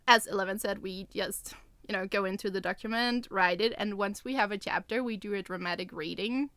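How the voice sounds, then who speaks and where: A woman's voice: mid-range voice, a little fast, a bit bright, no room sound. A woman co-hosting a podcast, chatting casually about writing. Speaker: podcast co-host and co-writer.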